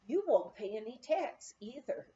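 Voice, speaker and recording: A woman's voice: fairly high pitch, big pitch swings, unhurried, warm tone, dull audio, slight background noise. A woman voicing a motherly, capable older lodge manager with an assured, practical manner in a memoir reading. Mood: briskly practical. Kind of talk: storybook-style character reading.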